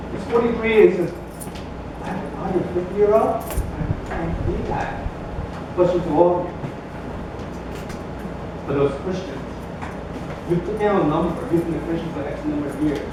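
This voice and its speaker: A male pastor giving a sermon, conversational and joking, building to emphatic bursts. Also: high-pitched. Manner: conversational, jokey preaching with rising emphatic bursts. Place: church sanctuary. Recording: echoey church from a distance with background noise.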